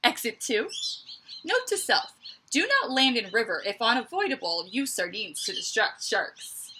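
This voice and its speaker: A woman reading a picture book aloud to children like a teacher, with expressive emphasis and chatty asides. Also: a little high, moderate pace, slight background noise. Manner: expressive, dramatic emphasis, conversational asides to young listeners.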